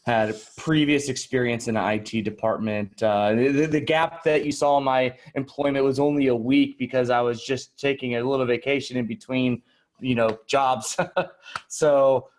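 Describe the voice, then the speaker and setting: A man playing a nervous, eager job applicant in improvised sketch comedy, speaking politely and haltingly. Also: medium pitch, steady tone, natural voice. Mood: flustered, apologetic. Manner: hesitant, polite, stammering.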